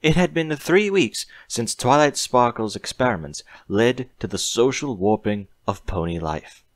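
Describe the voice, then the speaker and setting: A man's voice: mid-range voice, expressive, measured pace, dry recording. A man reading a story aloud in a scripted audiobook narration style.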